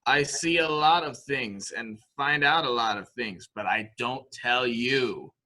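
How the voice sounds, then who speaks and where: A man's voice: medium pitch, measured pace, sharp tone, rough recording. A man playing a childlike, dangerously unhinged killer in a murder mystery drama, boasting in a sing-song way with repeated phrases. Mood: smug, secretive. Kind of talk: amateur murder mystery audio drama acting.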